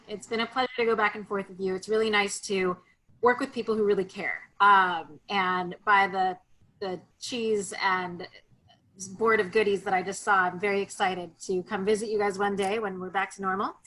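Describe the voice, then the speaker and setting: A woman guest on a video call, chatting easily with plenty of ums and self-corrections. Her pitch sits in an ordinary middle range, and she sounds like someone in her thirties or forties. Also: normal speed, a bit bright, poor audio. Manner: chatty, frequent ums and restarts. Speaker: sake expert guest. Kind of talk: casual virtual tasting talk.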